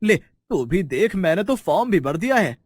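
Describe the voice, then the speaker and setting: A man's voice: sits high, sing-song, moderate pace, no echo, studio quality. A man voicing a naive, timid village husband, earnest and simple-minded, in a cartoon moral story. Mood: proud, clueless.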